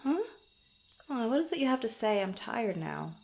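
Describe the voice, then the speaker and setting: A woman playing a proud but tender young female adventurer in an intimate ASMR roleplay, speaking softly and haltingly. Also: fairly high pitch, sing-song. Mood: teasing, tired. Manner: halting, stammering, soft-spoken with breathy asides.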